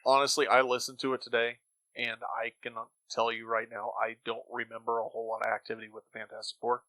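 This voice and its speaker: A man's voice: mid-range voice, little pitch movement, quick pace, pushed and bright, no room sound, rough recording. A man co-hosting a podcast, giving his opinions in casual chat. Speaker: podcast co-host.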